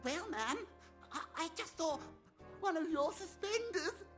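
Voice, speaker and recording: Very high, squeaky little schoolboy. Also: female voice, animated, measured pace, faint music behind. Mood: giggly, cheeky. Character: giggly, cheeky little schoolboy classmate. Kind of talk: animated comedy voice acting.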